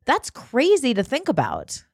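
A woman's voice: high-pitched, huge pitch swings, measured pace, a little mellow, dry recording, studio quality. A woman co-hosting a podcast, talking in a casual, conversational way. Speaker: podcast guest co-host.

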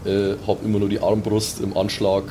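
Male role-play player, on the low side, relaxed improvising, audible rumbling noise. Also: moderate pace. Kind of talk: casual improvised in-character play and table talk.

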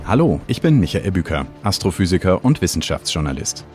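A man hosting a science podcast, narrating from a script in a clear, explanatory way. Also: low-pitched, sing-song, brisk, darkish tone, soft background music. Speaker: podcast host and science journalist.

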